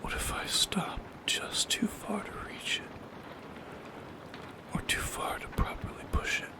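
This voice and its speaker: A man voicing a lonely, shy shadow creature in an ASMR roleplay, speaking in a soft, halting, intimate whisper with a creaky edge. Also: lightly acted. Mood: anxious musing. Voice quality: breathy whisper with creaky edges.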